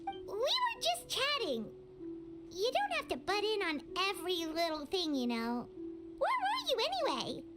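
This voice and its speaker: Squeaky, tiny childlike fairy sidekick. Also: female voice, huge pitch swings, unhurried, loud music behind the voice, full character voice. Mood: cheeky. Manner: exclamatory, teasing, speaks of self in third person. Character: Paimon, tiny childlike floating fairy companion, cheeky and chatty. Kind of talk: animated fantasy video game voice acting.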